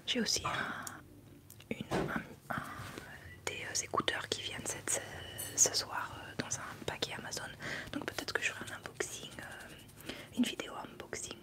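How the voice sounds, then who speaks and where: A young woman, whispering casually into a close desk mic during an ASMR livestream, rambling and answering chat. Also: normal speed. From France. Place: small bedroom studio indoors. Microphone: large desk mic up close.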